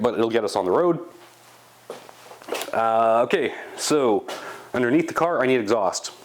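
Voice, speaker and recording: A congested, sick man casually talking through a project update in his garage workshop, rambling with fillers as he thinks aloud. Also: fairly low pitch. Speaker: channel host.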